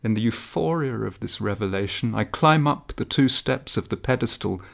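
A man giving a measured, deliberate reading of literary prose. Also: fairly low pitch, expressive, vocal fry, a bit bright. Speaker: reader.

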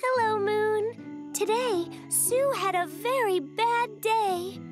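A woman voicing a small, sweet young animal in a children's cartoon, with an earnest, gentle, childlike manner. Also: extremely high pitch, slow, music in the background.

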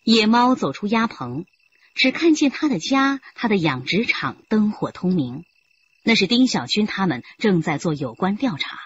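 A woman giving measured scripted narration for a radio drama. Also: mid-range voice, big pitch swings, a little hiss or hum. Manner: measured storytelling. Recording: dull lo-fi radio play audio.

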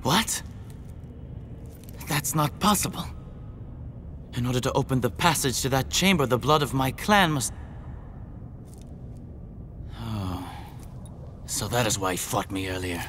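An actor playing a stern, proud vampire hunter who speaks in commanding, formal, archaic phrases for a video game. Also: male voice, mid-range voice, animated, measured pace, long pauses, fairly bright tone, slight background noise. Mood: troubled. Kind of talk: dramatic video game acting. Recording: music behind the voice. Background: music.

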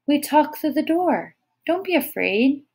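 A gentle, protective girl from a storybook, played by a woman narrator. She speaks in a soft, reassuring way. The pitch is on the high side. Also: sing-song, unhurried, heavily acted. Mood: soothing. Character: gentle, protective young girl comforting a child. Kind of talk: audiobook character voice.

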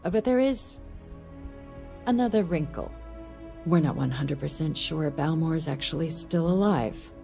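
An actress playing a composed woman diplomatic official in a game, giving a briefing in a measured, formal, dry way. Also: sits low, animated, creaky, dark tone, soft background music, full character voice. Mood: measured, wry. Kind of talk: scripted game dialogue, mission briefing.

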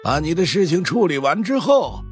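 A man's voice: on the high side, very animated, normal speed, soft background music, voice pushed up. A man voicing a sly, boastful old Taoist monk with a smug, teasing drawl, in an audiobook. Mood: reassuring, boastful. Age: older. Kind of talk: audiobook character acting.

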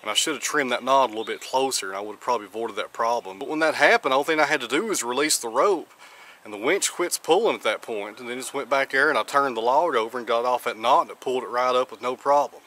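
A practical man in his thirties, talking at an ordinary mid pitch as he walks through how his tools work. He explains step by step in a plain, down-to-earth way. He is recorded outdoors beside a road on a camera mic. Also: fast talker, pushed and bright. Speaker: channel host.

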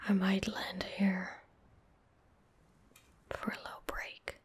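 A woman in her twenties giving calm, gentle ASMR game commentary in a breathy whisper, close to the microphone. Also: mid-range voice, slow. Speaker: streamer narrating her gameplay. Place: indoor room at a gaming desk. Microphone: close desk microphone.

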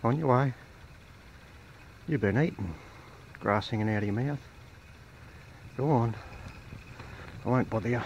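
A man's voice: medium pitch, measured pace, pauses often. A man filming his own night hike on a bush trail, chatting to himself and to animals he passes. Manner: rambling, talks to himself and to animals. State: a bit dry mouthed. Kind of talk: casual self-narration. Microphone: handheld camera mic. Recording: dull outdoor camera audio with wind noise.

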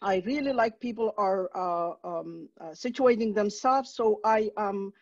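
A woman's voice: mid-range voice, a little mellow, dry recording. Somali-accented woman academic, middle-aged, hesitant lecturer, muffled headset call sound. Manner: halting, many fillers and restarts. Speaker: guest lecturer, professor. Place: home room, indoors. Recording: dull dry video-call audio.

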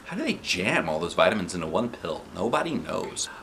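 A man in his forties with an American accent, reading a children's book aloud in an expressive, playful way with casual asides. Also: sits low, fairly quick, a little hiss or hum. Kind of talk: animated children's storybook narration with casual asides. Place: living room, seated on a sofa. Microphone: camera a short distance in front.

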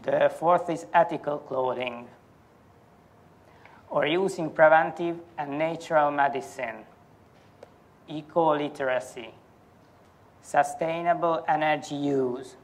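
A man in his thirties giving a formal academic presentation in a halting, deliberate manner. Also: a little high. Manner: halting, careful, deliberate delivery. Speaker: academic conference speaker. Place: indoor university lecture hall.

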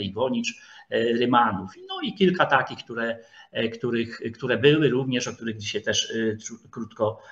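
Halting male lecturer on dull online audio. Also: medium pitch, a bit bright. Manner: halting, frequent hesitation sounds and pauses, searching for words; formal, addresses listeners politely. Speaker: lecturer, historian. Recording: dull lo-fi video call audio.

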